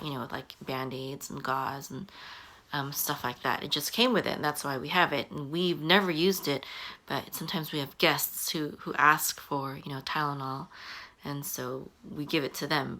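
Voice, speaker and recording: Low-voiced woman in her forties, halting. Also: bright, forceful tone. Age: 40s. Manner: halting, many ums and restarts. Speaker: online business coach. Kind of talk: casual talking-head advice.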